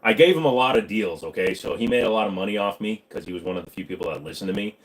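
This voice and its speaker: A man's voice: lowish voice, very fast, poor audio. A man hosting a casual livestream, answering viewer questions with crude, rambling jokes.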